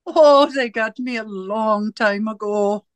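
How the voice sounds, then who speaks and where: A woman playing a cold, domineering interrogator in a radio drama, speaking in deliberate, commanding, rhetorical questions and pronouncements. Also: fairly high pitch, no room sound. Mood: knowing, smug. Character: cold, domineering party interrogator and torturer, righteous and controlling. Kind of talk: theatrical radio drama acting.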